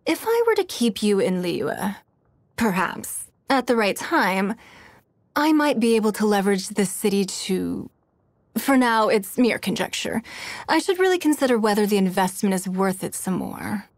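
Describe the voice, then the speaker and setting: A woman's voice: mid-range voice, sing-song, normal speed, no echo. An actress playing a poised, shrewd and wealthy woman leader in a video game, with a composed, refined and authoritative manner. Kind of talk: video game character voice lines.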